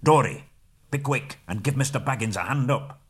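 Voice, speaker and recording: A man's voice: deep voice, sing-song, a little fast, slightly rough, exaggerated voice. A man voicing a commanding male dwarf leader who gives urgent orders in a storybook reading.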